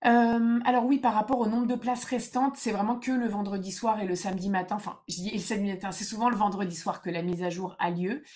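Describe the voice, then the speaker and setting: A woman's voice: mid-range voice, fairly even intonation, fast, hardly any pauses, darkish tone. Female live-session host, casual delivery, direct and emphatic adviser, mid-range voice. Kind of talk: casual informal live Q&A, explaining procedures.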